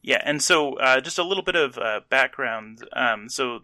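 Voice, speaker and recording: A man co-hosting a podcast, explaining things informally in a measured, informative way with short pauses. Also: mid-range voice, lively intonation, bright, forceful tone, dry recording. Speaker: podcast co-host. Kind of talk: casual explanatory chat.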